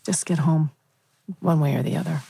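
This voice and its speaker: A woman's voice: deep voice, fairly even intonation, moderate pace, gravelly, dark tone, breathy edge, full character voice. A woman playing a cool, suspicious, weary middle-aged wife in a TV drama table read. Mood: suspicious, curt.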